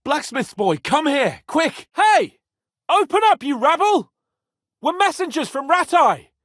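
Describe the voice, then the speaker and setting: A young medieval lord for a video game. He is imperious and quick-tempered, yet playful and teasing. His pitch swings widely in a very dramatic delivery, and the recording is dry and close. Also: sing-song, fairly bright tone, no room sound, exaggerated voice. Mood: urgent shouting. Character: haughty young medieval nobleman, playful, hot-tempered, fond of companion. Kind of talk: video game character acting.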